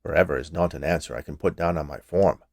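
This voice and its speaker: A man's voice: rumbling low, fast, darkish tone, dry recording, clean studio sound, voice pushed down. A man voicing a stern, fussy small health inspector with a curt, pedantic manner in a storybook tale. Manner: officious, pedantic, curt, defensive.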